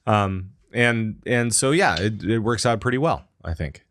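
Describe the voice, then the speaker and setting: A man co-hosting a casual gaming podcast, telling a story with lively acted-out lines. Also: on the low side, measured pace. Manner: animated storytelling, acts out characters' lines. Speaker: podcast co-host.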